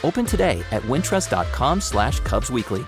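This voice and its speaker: A man delivers a scripted commercial read with a very animated, varied intonation. His pitch is average, and music plays behind him. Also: normal speed. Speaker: advertisement announcer.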